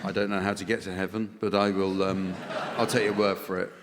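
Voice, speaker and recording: A man's voice: sits low, flat delivery, brisk, over a noisy crowd. A man chairing a comedy panel show, mixing mock-solemn formal announcements with ad-libbed banter. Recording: echoey theatre stage, noisy audience.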